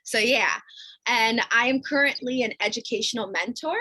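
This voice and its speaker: Young woman, New York City native, bright forceful voice, chatty, informal, panel guest. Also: a little high, normal speed, breathy edge, low-quality recording. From New York City, United States. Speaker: guest author and educational mentor. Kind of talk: casual panel introduction.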